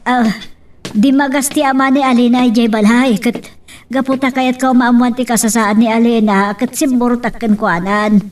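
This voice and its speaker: A woman's voice: fairly high pitch, fairly even intonation, normal speed, close to an everyday voice. Woman acting anxious Ilocano mother, slightly distant. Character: worried, devout mother of grown children. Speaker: voice actor in a drama.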